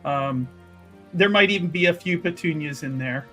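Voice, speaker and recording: Higher-pitched man, rambling and chatty. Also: moderate pace, bright, forceful tone, faint music behind. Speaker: tabletop game player. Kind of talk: casual improvised roleplay talk.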